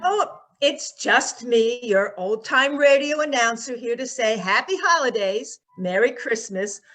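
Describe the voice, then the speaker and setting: A woman's voice: high voice, bright, forceful tone. A woman announcer presenting in a theatrical old-time radio style.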